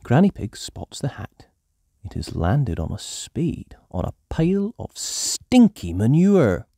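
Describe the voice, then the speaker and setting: A storyteller hosting and narrating a children's story in an animated style. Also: medium pitch, slow pace, creaky, dry recording, clean studio sound. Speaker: storyteller and channel host.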